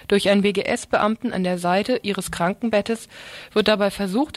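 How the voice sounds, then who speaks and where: A woman's voice: mid-range voice, no room sound. A woman presenting radio news from a script in a formal manner, now and then stumbling over the text.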